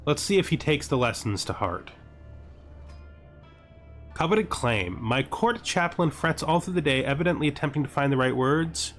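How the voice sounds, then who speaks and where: A man giving casual video game commentary, thinking aloud with rhetorical questions and exasperated asides, sometimes reading game text aloud. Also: medium pitch. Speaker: gaming series host. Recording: music behind the voice. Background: background music.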